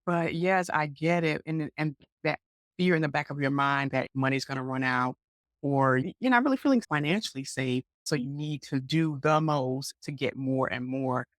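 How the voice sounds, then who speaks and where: Woman from georgia hosting a podcast, lively and encouraging, dry close mic. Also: deep voice, moderate pace, dry recording. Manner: animated, encouraging, lots of affirming backchannels. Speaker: podcast host. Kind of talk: casual interview chat.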